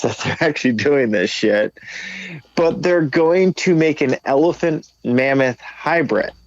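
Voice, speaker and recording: A man co-hosts a podcast in loose, informal conversation. His voice sits at a middle pitch. The sound is a little dull and lo-fi. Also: darkish tone. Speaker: podcast co-host. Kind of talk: casual podcast chat.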